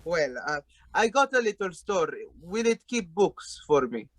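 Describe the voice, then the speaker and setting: A man's voice: high voice, sing-song, normal speed, a bit bright, full character voice. An actor playing a meek, flustered father in a radio play, stammering and apologetic as he talks. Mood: curious, unsure. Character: meek, flustered immigrant father shopping with his brat.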